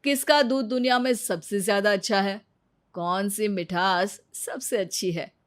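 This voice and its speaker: A woman's voice: medium pitch, steady tone, measured pace, close to an everyday voice. A woman voicing a clever, confident husband in a moral story, explaining and mildly scolding. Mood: smug, challenging. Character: clever, teasing husband who lectures his proud wife.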